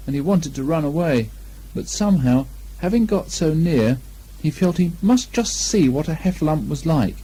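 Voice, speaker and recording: A man reading a children's story aloud as an expressive narrator. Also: mid-range voice, husky. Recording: lo-fi 1980 amateur recording.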